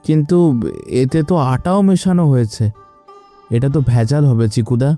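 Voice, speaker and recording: A man's voice: mid-range voice, expressive, moderate pace, warm tone, soft background music, natural voice. A man voicing a timid, honest cartoon village sidekick, hesitant and full of worried questions. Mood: worried doubt. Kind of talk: animated cartoon dialogue.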